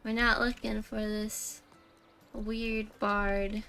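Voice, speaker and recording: A woman's voice: mid-range voice, flat delivery, slow pace, pushed and bright, faint music behind. A woman livestreaming a game, chatting casually and ramblingly with her viewers. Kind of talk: casual livestream chat while gaming.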